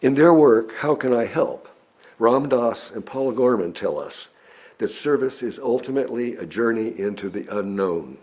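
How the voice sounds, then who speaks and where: An American man reading a sermon from a script, speaking in measured, deliberate phrases with emphatic pauses. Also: medium pitch, expressive, darkish tone, husky, muffled recording.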